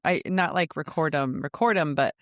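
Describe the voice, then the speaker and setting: A woman's voice: sits low, normal speed, no room sound, studio quality. A middle-aged woman co-hosting a podcast, chatting in a casual, reflective, conversational way. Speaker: podcast co-host.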